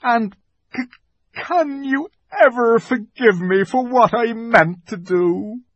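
A man voicing a timid, weeping male faun who pleads slowly and frets. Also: extremely high pitch, no room sound, highs cut off, pitched above the performer's own voice, exaggerated voice. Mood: anxious. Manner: fretful, pleading, slow and drawn out.

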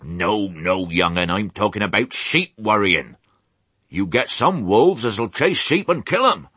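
A man voicing a mournful, grumbling old ewe with a husky voice and a West Country accent, in a storybook. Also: lowish voice, lively intonation, unhurried, sharp tone, no echo, pitched above the performer's own voice, heavily acted. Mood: aggrieved. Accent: English West Country.

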